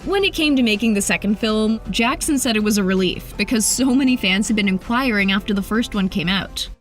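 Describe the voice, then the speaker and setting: A woman's voice: mid-range voice, fairly quick, soft background music. A woman reading scripted entertainment narration as a video narrator.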